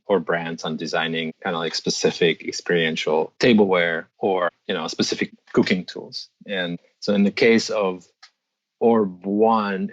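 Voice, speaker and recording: Interview guest, middle-aged man from the Czech Republic, very low voice, measured delivery, dull dry recording. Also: no room sound. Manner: thoughtful, restarts often, measured. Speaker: guest, designer and metalsmith. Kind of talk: interview answers.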